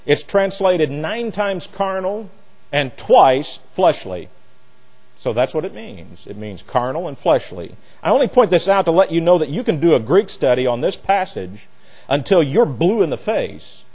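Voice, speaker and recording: Animated man teaching a Bible study. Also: a little high, breathy edge. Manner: emphatic, opinionated, plain-spoken. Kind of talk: sermon, expository Bible teaching.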